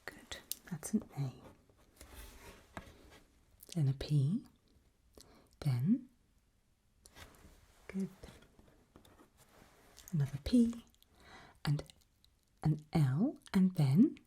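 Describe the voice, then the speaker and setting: A woman speaking in a breathy whisper and very soft voice, playing a calm, reassuring doctor in a gentle, patient ASMR roleplay. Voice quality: breathy whisper mixed with very soft low speech. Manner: gentle, unhurried, encouraging, patient. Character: calm, reassuring doctor running a creepy memory test. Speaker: ASMR performer playing a doctor giving a memory test.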